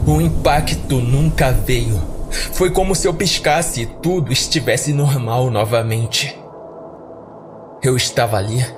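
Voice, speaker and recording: A man's voice: mid-range voice, background noise. A Brazilian man giving dramatic first-person narration of a horror story as a podcast narrator. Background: music.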